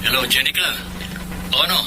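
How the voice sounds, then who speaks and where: A casual, insistent Filipino admirer played by a man in a radio drama. His voice is fairly high and lively, with clear noise behind it. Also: fairly quick, lightly acted. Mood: friendly, insistent. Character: attentive, insistent male admirer on phone.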